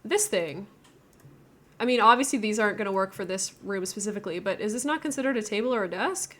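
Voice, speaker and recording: A woman's voice: medium pitch, animated, fast, a bit bright. Chatty, giggly woman live-streaming a game. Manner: chatty, thinking aloud, giggly. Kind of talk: casual live-stream chat while building in a game.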